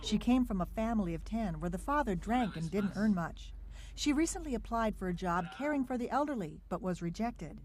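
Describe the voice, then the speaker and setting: A woman's voice: mid-range voice, animated, brisk, a little mellow. A woman reporter giving scripted, upbeat narration for a TV news feature. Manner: upbeat, clear reporter delivery.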